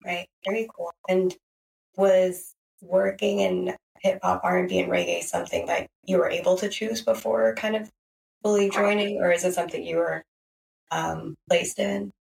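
A woman's voice: on the low side, normal speed, creaky, darkish tone, recorded from a distance, poor audio. A woman hosting a podcast interview, asking hesitant, filler-heavy questions. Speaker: podcast host.

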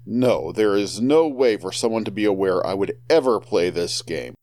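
A man's voice: fairly low pitch, lively intonation, normal speed, darkish tone, lightly acted. An actor playing an earnest, literal-minded man in conversational audio drama. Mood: earnest, firm.

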